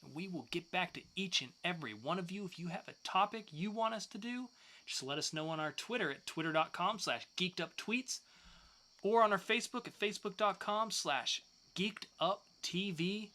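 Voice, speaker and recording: A man's voice: high voice, expressive, normal speed, sharp tone. A man co-hosting a podcast in casual banter, speaking loosely and swearing freely. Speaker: podcast co-host.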